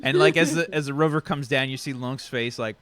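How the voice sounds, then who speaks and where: A man casually narrating a tabletop game as its game master, animated and sweary. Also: mid-range voice, brisk. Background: background music.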